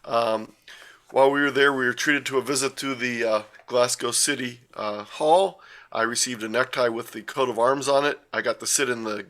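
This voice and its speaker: An older man tells a casual story over slides, wandering off track and correcting himself as he goes. His voice is bright and forward, at an ordinary middle pitch, heard over a video call. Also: fairly even intonation, moderate pace. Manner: rambling, with frequent uh and self-corrections. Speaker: guest presenter.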